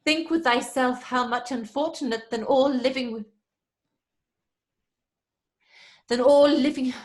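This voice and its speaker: Sceptical troupe actress for horror drama, played by a woman: high voice, sharp tongue, brisk dismissive manner. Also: steady tone, natural voice. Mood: reciting. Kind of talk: horror audio drama acting.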